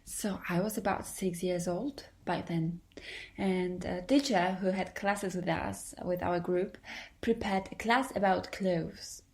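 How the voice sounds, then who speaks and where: A young woman whose first language is Polish speaks English with a Polish accent. Her voice sits a little low. She talks to listeners of her solo podcast in a careful, earnest, slightly formal way, as an English teacher would. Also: takes it slowly, a little mellow.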